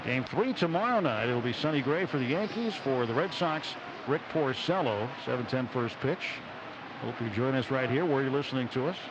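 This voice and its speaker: A man does live baseball play-by-play in a mid-pitched voice. He describes the action in measured, short phrases with pauses between them. The radio sound is dull, with heavy outdoor stadium noise behind him. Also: lively intonation. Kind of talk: live radio sports commentary. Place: outdoor ballpark broadcast booth. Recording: dull radio broadcast, loud stadium ambience. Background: loud outdoor ballpark ambience.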